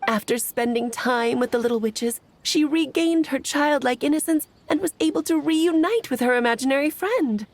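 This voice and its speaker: A woman's voice: sits high, moderate pace, few pauses, a little mellow, slight background noise. An actress playing a playful, theatrical grown witch in a video game, eloquent, airy and teasing. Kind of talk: video game cutscene acting.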